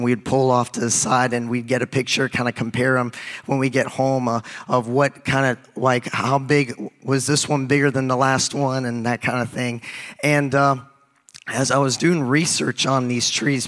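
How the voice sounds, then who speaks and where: A young man with a raspy voice, hoarse from a recent cold, preaches as a youth pastor. His pitch sits in the middle range, and he talks casually, stumbling and restarting. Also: fairly bright tone. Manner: casual, halting, with many restarts and fillers. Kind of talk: sermon.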